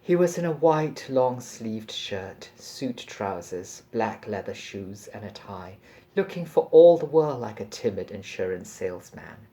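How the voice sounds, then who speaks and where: A man reading a passage from a novel aloud in a measured, deliberate and expressive storytelling manner. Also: on the low side.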